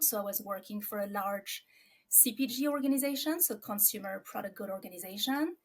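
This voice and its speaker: A woman in her forties from France, with a French accent, talking reflectively about herself as an interview guest. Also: mid-range voice. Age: 40s.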